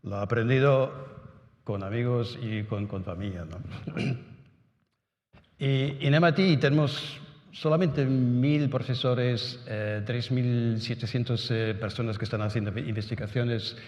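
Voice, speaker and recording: A man speaking Spanish as a non-native whose first languages are German and Italian, giving a halting symposium talk full of restarts and tag questions. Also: medium pitch, a little mellow. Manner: halting, many restarts and "¿no?" tags. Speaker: conference speaker. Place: conference hall. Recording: echoey hall with long reverb.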